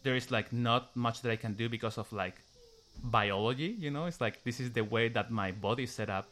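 A man's voice: medium pitch, brisk, fairly bright tone. A man from Chile with a Chilean Spanish accent answering interview questions in English, hesitant and full of fillers, pronouncing each sound with care. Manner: hesitant, many "like" fillers, careful pronunciation. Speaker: interviewee, musician. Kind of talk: casual interview answers and a read passage.

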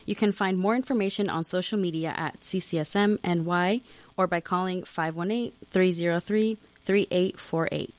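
A woman reading a public service announcement in a clear, even way. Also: fairly low pitch, normal speed, no room sound.

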